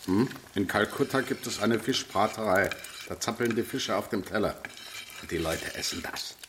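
A man playing a gruff, wry, overworked cook in a children's radio play, brusque and teasing. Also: on the low side, moderate pace, a bit bright, slight background noise, lightly acted. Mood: wry grumbling.

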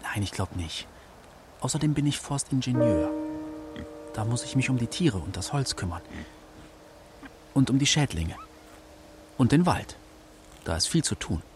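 A man's voice: medium pitch, expressive, measured pace, long pauses, over music, close to an everyday voice. A man playing a patient, matter-of-fact forester father in a German children's radio play, explaining things plainly.

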